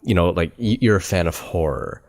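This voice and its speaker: A man hosting a podcast interview, asking questions in a quick, chatty, rambling way. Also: deep voice, darkish tone. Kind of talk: casual interview chat.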